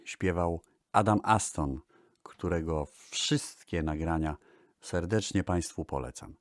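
A man hosting a music history show, narrating in a measured, formal radio style and addressing the listeners. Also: sits low. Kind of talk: radio-style narration about old recordings.

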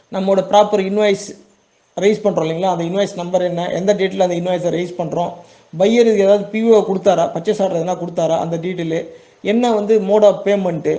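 A man with a high voice and a soft, mellow tone walks through a tutorial informally. He speaks fast in a Tamil Nadu accent. His manner is plain and reassuring, often checking that listeners follow. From Tamil Nadu, India. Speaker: export business owner giving a tutorial.

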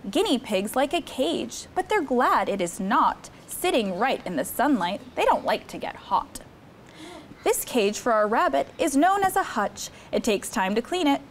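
Lively high-voiced woman reading to children. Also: slight background noise. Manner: expressive, encouraging, talking to young children. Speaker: children's story time host. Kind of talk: reading a picture book aloud to children. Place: studio set with seated children.

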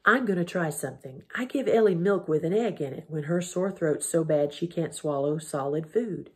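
Woman reading as a stern, brisk farm mother. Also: deep voice. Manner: brisk and practical. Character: stern, stubborn mother turned caring.

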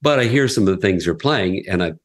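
A man's voice: low voice, lively intonation, a little fast. A middle-aged man, a radio station president, talking casually as an interview guest, rambling a little with restarts and light chuckles. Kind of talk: conversational interview.

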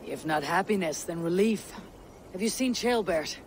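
An actor playing a calm, resolute Viking warrior who speaks tersely. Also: male voice, sits high, normal speed, a little hiss or hum. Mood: steady. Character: steady young adult male Viking warrior. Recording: game soundtrack with music behind.

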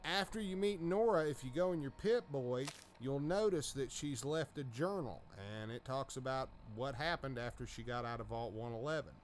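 A man's voice: medium pitch, expressive, moderate pace, few pauses, a little hiss or hum. A man giving a casual, folksy voice-over as host of a video game mod showcase. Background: video game sounds and dialogue.

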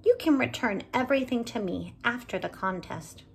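A woman's voice: fairly high pitch, animated, normal speed, gravelly, faint music behind. A woman voicing a kind, helpful male bird in a storybook, eager and a little timid. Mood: kindly. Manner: eager, obliging, slightly timid.

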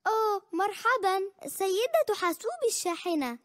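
A very high, lively little girl pig, cheerful and curious. She speaks with childlike eagerness in a preschool cartoon. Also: moderate pace, no echo, clean studio sound, full character voice. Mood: excited. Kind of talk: Arabic-dubbed preschool cartoon.

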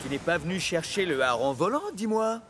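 A man's voice: fairly high pitch, expressive, brisk, noisy background, lightly acted. A man voicing a teasing steam engine in a children's cartoon dub, drawling and mocking.

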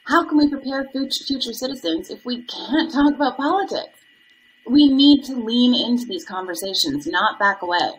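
Higher-voiced principled committee woman, acted. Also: moderate pace, close to an everyday voice, poor audio. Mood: firm, persuasive. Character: principled, inclusive female school committee member. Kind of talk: scripted dramatization on video call. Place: home room, video call. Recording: laptop call with faint room noise.

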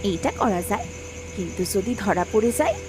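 A woman's voice: medium pitch, lively intonation, fairly quick, noisy background, natural voice. A woman voicing a greedy village wife in a cartoon, insistent and demanding. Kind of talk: cartoon dialogue acting. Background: music.

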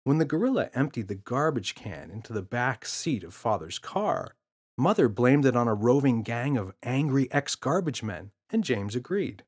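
A man's voice: mid-range voice, sing-song, moderate pace, darkish tone, slightly rough. Man narrating a children's audiobook. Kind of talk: scripted children's story narration.

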